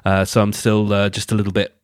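A man's voice: fairly low pitch, completely flat delivery, quick pace, smooth, dry recording, clean studio sound. A man hosting his own podcast, talking casually and rambling a little, with a congested, nasal voice from a cold. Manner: chatty, rambling, self-correcting. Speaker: podcast host and producer. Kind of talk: casual solo podcast talk.

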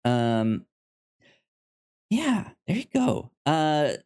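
A man's voice: fairly high pitch, wildly swooping pitch, very slow, a little mellow, clean tone. A man co-hosting a film podcast, talking casually and off the cuff, stammering and repeating the starts of words. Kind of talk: casual, unscripted recommendations.

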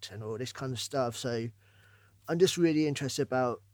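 A man's voice: mid-range voice, brisk, darkish tone, no echo. A man hosting a casual podcast interview, informal and ready with his own opinions.

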